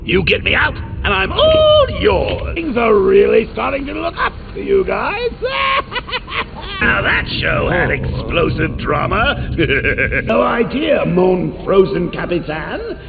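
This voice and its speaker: An actor playing a manic, theatrical clown supervillain in a video game, cackling and taunting with playful wordplay. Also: male voice, high-pitched, huge pitch swings, slow pace, vocal fry, exaggerated voice. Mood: gleeful. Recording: tinny lo-fi game audio with loud music.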